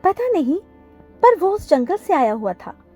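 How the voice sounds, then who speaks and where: A woman's voice: very high voice, sing-song, unhurried, dark tone, soft background music, pitched above the performer's own voice, exaggerated voice. A woman voicing a polite, loyal female butterfly in a cartoon story, with a courteous, deferential manner. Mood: alarmed.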